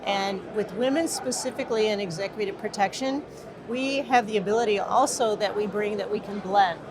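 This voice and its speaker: A woman's voice: mid-range voice, brisk, a crowd in the background, poor audio. A woman from the Midwest, co-owner of a protection business, answering interview questions in an earnest way with frequent fillers.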